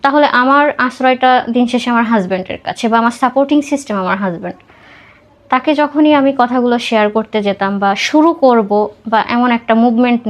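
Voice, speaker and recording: A young woman with a Bangladeshi accent tells her personal story conversationally in an interview, mixing in English words. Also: on the high side. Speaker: podcast guest telling own life story.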